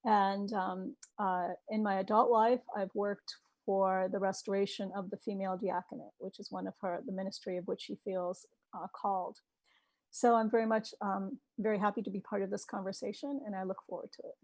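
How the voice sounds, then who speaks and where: Woman panelist, even monotone, halting, dry close sound. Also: medium pitch, no echo, poor audio. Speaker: theology professor, panelist. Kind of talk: panel introduction.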